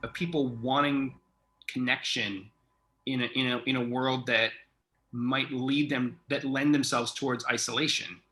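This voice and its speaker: A man's voice: medium pitch, fairly even intonation, measured pace, pushed and bright, a little hiss or hum. A man interviewing a guest in a casual chat, effusive and rambling as he thinks aloud. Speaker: interviewer, theatre artistic director.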